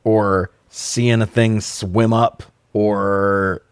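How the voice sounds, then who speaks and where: A man's voice: fairly low pitch, little pitch movement, extremely slow, smooth, no room sound, studio quality. A man in his forties co-hosting a casual comedic review show, talking in a profane, sarcastic way. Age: 40s. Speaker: podcast co-host reviewing films. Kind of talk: casual comedic recap chat.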